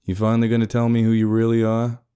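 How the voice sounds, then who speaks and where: A man's voice: fairly low pitch, completely flat delivery, fast, smooth, lightly acted. A man voicing a defiant, foul-mouthed young man who talks back to his captors. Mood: sarcastic. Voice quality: loud and profane, shouting.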